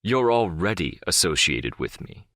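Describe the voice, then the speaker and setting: A man's voice: deep voice, very animated, a little slow, bright, forceful tone, no echo, clean studio sound, full character voice. A man playing a powerful, middle-aged crime boss in an audiobook drama, controlled, condescending and smugly self-assured. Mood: smug, commanding. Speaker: audiobook voice actor.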